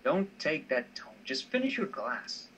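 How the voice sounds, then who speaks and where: A man's voice: medium pitch, sing-song, a little slow, a bit bright. A man voicing a nonchalant, teasing male drinking companion in an amateur visual novel reading, with casual, goading replies. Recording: dull, slightly noisy home recording.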